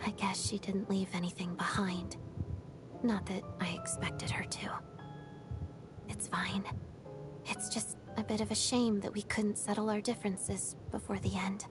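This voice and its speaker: A woman playing a melancholy young ballet dancer in a video game, speaking softly and breathily in a restrained, reflective, measured way. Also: medium pitch, level tone, over music, natural voice. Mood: quiet, regretful. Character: melancholy young female ballet dancer and former Fatui trainee. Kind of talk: video game story dialogue.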